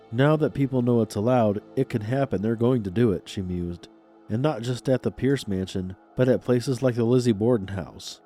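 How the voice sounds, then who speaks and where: A man's voice: mid-range voice, warm tone. Stuffed-up man hosting a spooky podcast. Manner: playfully spooky, theatrical host patter. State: stuffed up, congested nose. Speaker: podcast host. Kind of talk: scripted paranormal podcast narration.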